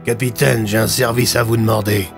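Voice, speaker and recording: A man playing a serious police commissioner who speaks in a formal, measured manner. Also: lowish voice, little pitch movement, gravelly, music in the background. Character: serious, gravelly police commissioner giving orders.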